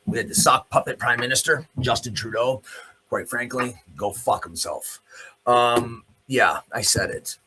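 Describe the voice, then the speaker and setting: A man's voice: medium pitch, unhurried, sharp tone, husky, low-quality recording. A man hosting a news commentary show, ranting off the cuff in a blunt, sardonic, profane manner. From Canada. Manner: blunt, sardonic, profane, with pointed rhetorical questions. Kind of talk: opinionated rant, spoken off the cuff.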